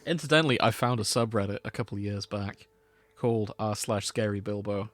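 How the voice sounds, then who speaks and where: A man's voice: on the low side, brisk, a bit bright, no room sound. A man hosting a comedy podcast, chatting in a jokey, sweary way. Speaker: podcast host. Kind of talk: bantering comedy podcast chat.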